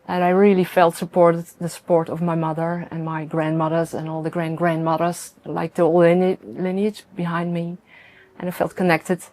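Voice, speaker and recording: Woman, Dutch accent, fifties, midwife, earnest, halting, lowish voice, dry sound. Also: a little mellow, dry recording. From Netherlands. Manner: earnest, halting, with many fillers and restarts. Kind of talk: personal testimony.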